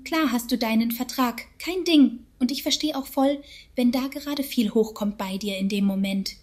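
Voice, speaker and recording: A woman's voice: fairly high pitch, moderate pace, a little mellow, lightly acted. A woman playing a synthetic AI voice that is casually chummy, soothing and patronising in a radio drama. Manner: soothing, over-understanding, patronising. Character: chummy female synthetic AI voice, sweetly preachy. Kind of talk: radio drama acting, casual therapy-speak.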